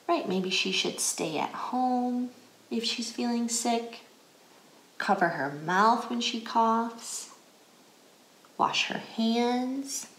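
A woman's voice: on the high side, a bit bright. American lady doing a kids read-aloud, takes her time, echoey room. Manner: deliberate, clearly phrased, asks questions. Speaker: educator reading a picture book aloud. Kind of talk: guided read-aloud for children. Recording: roomy indoor echo.

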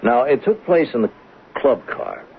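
An actor playing a blunt, insistent police lieutenant with a matter-of-fact manner in a 1970s radio drama. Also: male voice, medium pitch, huge pitch swings, measured pace, vocal fry, full character voice. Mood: probing. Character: blunt, probing male police detective lieutenant. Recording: tinny, hissy 1970s radio recording.

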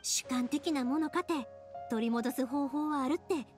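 A woman voicing a warm, upbeat young big-sister figure in an anime game, reassuring and earnest, with a Kansai accent. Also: high-pitched, normal speed, close to an everyday voice. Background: music.

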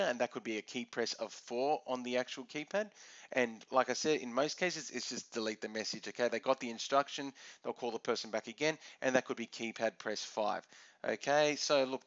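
A man's voice: mid-range voice, fairly bright tone, muffled recording. A man teaching in an explanatory screencast, going step by step and often punctuating with "okay". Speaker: teacher presenting a tutorial. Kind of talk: explanatory screencast lesson, semi-scripted.

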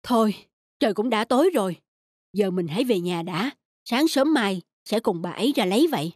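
An actor playing a kind, honest, easygoing peasant husband in an animated folk tale, speaking earnestly. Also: male voice, sits high, moderate pace, no room sound. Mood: unhurried. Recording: music behind the voice.

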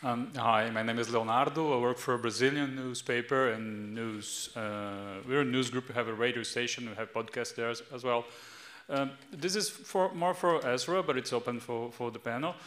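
Guy in the crowd asking a question, bright voice, some ums, roomy echo. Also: mid-range voice. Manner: hesitant, with pauses and fillers. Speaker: audience member asking a question. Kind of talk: audience question at a panel.

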